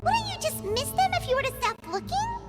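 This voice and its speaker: Super high squeaky lil fairy girl, game sidekick, naive n excitable, voice bouncing all over, loud music behind. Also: fairly quick. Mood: puzzled, pondering. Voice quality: squeaky, childlike. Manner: expressive, speaks of herself in the third person. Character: tiny excitable childlike girl fairy companion, naive and curious. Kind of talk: scripted video game dialogue.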